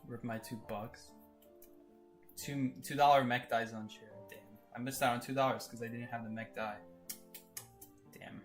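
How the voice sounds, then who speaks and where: A young man commentating his own gameplay live, excitable and laughing often, going from loud disbelief to low mutters. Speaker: game streamer.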